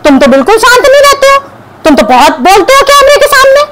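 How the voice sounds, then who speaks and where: A woman's voice: very high-pitched, sing-song, fast. A young woman vlogger giving combative reaction commentary to camera, scolding and sarcastic. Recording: clear background noise.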